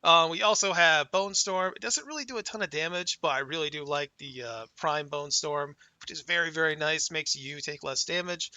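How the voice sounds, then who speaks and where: A young man casually talking through his game character, laid-back and excited, his voice worn and going hoarse from a cold. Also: a little high, moderate pace, hardly any pauses, no room sound. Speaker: gaming channel host. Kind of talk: casual gameplay commentary.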